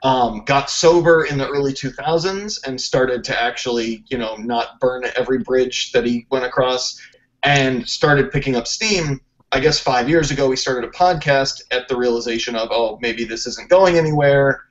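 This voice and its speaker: A man's voice: medium pitch, normal speed. A man hosting a podcast in casual chat, joking in a self-deprecating way and asking lots of questions. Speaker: podcast host. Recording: dull lo-fi podcast audio.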